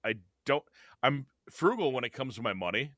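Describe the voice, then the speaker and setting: A man's voice: mid-range voice, very animated, moderate pace, fairly bright tone, no echo, studio quality. A man in his fifties co-hosting a podcast, chatting casually and often repeating himself. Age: 50s. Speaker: podcast co-host. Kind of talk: casual chat.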